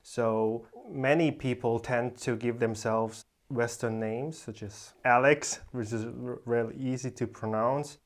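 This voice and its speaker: A man's voice: mid-range voice, fairly even intonation, unhurried, few pauses. A man from South Korea who grew up partly in Austria, explaining things as a podcast guest. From South Korea, moved to Austria at ten. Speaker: podcast guest, corporate lawyer. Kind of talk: explanatory interview talk.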